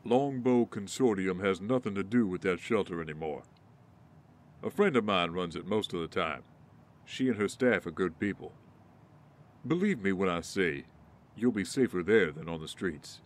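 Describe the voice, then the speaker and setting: A man's voice: deep voice, animated, moderate pace. A man playing a principled, guarded ex-Marine in an audio drama. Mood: kind, earnest. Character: principled male ex-Marine, guarded, righteously indignant.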